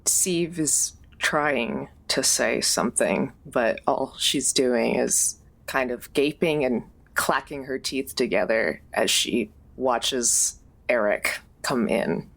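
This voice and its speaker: Female roleplayer, very low register, unhurried, heavy fry, narrating character actions. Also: low-quality recording. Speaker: tabletop game player.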